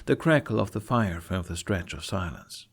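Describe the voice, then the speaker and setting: A man reading scripted fantasy fiction aloud as an expressive storyteller. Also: low-pitched, moderate pace, gravelly. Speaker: podfic narrator.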